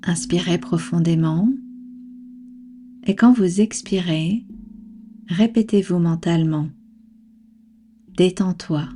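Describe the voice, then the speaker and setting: A woman guiding a relaxation practice as a meditation instructor, speaking calmly and soothingly in measured instructions with long pauses. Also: on the low side. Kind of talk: guided yoga nidra relaxation.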